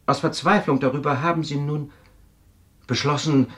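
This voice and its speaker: A man's voice: a little high, fairly even intonation, natural voice. An actor playing a formal, probing, insistent male telepath adviser in a 1970s radio drama. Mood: grave, insistent. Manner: deliberate, probing.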